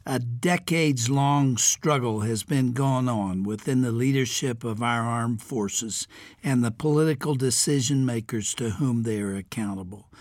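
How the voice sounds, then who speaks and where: An older man from the United States, hosting a radio program, reading a prepared letter aloud. His voice is raspy, and his speech is a bit strained and unclear. He reads gravely and deliberately, stressing each item in his lists. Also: medium pitch, no room sound, studio quality.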